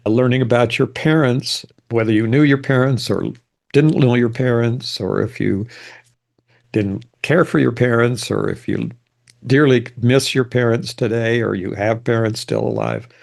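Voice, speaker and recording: Older man with a very gravelly voice. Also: mid-range voice, a little slow. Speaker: genealogy presenter. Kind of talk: informal lecture.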